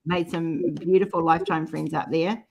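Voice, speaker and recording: A middle-aged woman with a New Zealand accent talks in a fairly low voice. She is a guest in a casual group chat, sharing personal stories in an informal way. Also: fairly even intonation, moderate pace, gravelly, darkish tone.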